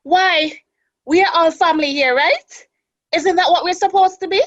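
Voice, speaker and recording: An actress playing a fiery, bitter wronged wife with a Caribbean accent in a radio drama. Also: female voice, very high voice, normal speed, no room sound, lo-fi sound. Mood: bitter, accusing.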